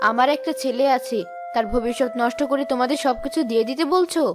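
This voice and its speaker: A woman's voice: high-pitched, fast talker, close to an everyday voice. A woman voicing a stingy, scornful sister-in-law in a cartoon, scolding and dismissive, over music. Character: stingy, scornful sister-in-law guarding her son's inheritance. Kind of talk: moral-story cartoon acting.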